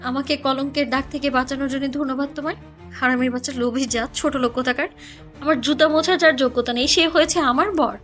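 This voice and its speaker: A woman's voice: sits high, steady tone, fast talker, a bit bright, faint music behind. A woman playing an arrogant, hot-tempered young rich bride, scolding with cruel scorn in an audio story drama. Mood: furious, contemptuous. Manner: haughty, insulting, scolding.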